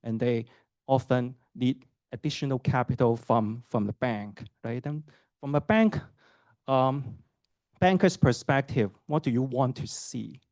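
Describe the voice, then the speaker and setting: A man's voice: medium pitch, measured pace, darkish tone, no room sound. Man, middle-aged, from hong kong, professor's admissions talk, chatty questions to the audience, dry close sound. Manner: conversational, asks the audience questions, many fillers and "right?" tags. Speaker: associate professor giving a program talk.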